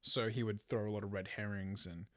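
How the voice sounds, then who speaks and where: A man's voice: fairly low pitch, fairly even intonation, a little fast, no echo, studio quality. A man telling a true crime story as a podcast host, partly from a script. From Australia.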